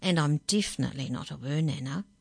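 A woman voicing a grandmother who murmurs with quiet, sly warmth. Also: low voice, quick pace, vocal fry, husky, exaggerated voice. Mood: affectionate. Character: warm grandmother, knowing and sly.

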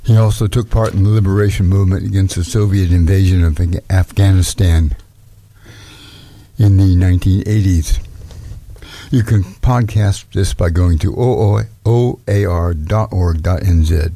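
Radio host dude, lowish mellow voice, just asking questions plainly. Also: male voice, unhurried. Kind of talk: community radio interview.

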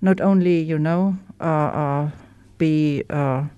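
Woman, German accent, very low voice, unhurried, dry close sound, candid and reflective. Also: fairly even intonation, dark tone, clean tone, dry recording, highs cut off. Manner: candid, reflective, searching for words. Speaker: guest sharing her recovery story. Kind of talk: personal testimony in an interview.

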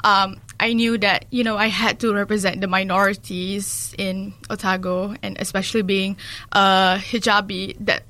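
Young woman guest, mid-pitched, hesitant delivery. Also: level tone, normal speed, sharp tone. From Malaysia, in New Zealand since age ten. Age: young adult. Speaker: podcast guest, student association president. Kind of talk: casual interview chat.

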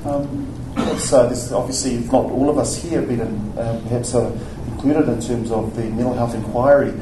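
A man moderating a panel informally, with tentative, halting phrasing. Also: medium pitch, steady tone. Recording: roomy, distant mic, background noise.

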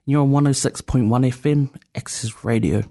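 A man hosting a community radio chat. He is thoughtful and easygoing and pauses often. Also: medium pitch, mellow. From New Zealand. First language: Samoan. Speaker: radio host.

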